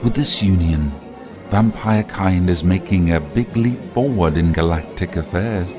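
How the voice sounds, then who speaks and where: A man's voice: sits low, music in the background. An actor playing a long-lived vampire nobleman in an audio drama, composed, suave and knowing. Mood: measured, knowing. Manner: measured, suave, formal. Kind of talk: audio drama acting, measured exposition.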